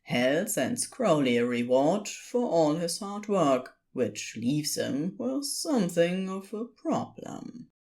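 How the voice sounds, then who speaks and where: A narrator reading a scripted story in a deliberate, expressive storytelling manner. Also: medium pitch.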